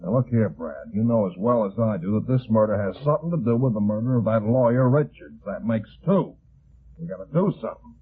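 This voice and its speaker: An actor playing a gruff, impatient police lieutenant in a 1950s radio detective drama, plain-spoken and insistent. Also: male voice, lowish voice, expressive, brisk. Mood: businesslike. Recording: thin phone-like vintage radio recording.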